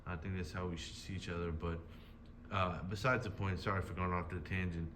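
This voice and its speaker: A man commenting casually on a video he is reacting to, talking in a hesitant, halting way with many fillers and restarts. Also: sits low, fairly even intonation, background noise. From United States.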